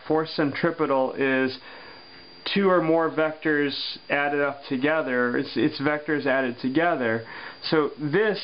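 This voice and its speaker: A man teaching physics, working a problem step by step on paper and thinking aloud with pauses and fillers. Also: a little high. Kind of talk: worked-example lesson over paper. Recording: dull lo-fi sound with faint hum.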